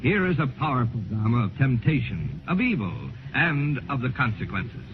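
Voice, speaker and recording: A man's voice: mid-range voice, sing-song, normal speed, phone-quality sound. A man hosting a 1950s radio mystery program, giving a formal, dramatic scripted introduction. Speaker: radio show host. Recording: band-limited 1950s radio recording. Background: music.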